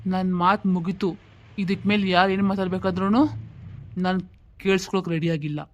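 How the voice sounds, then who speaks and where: A woman's voice: fairly low pitch, flat delivery, fairly quick, lo-fi sound, lightly acted. A woman voicing a stern, scornful elder brother who speaks curtly and stubbornly in a cartoon family drama. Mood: cold, stubborn. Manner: curt, dismissive, confrontational. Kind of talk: dramatic cartoon story dialogue.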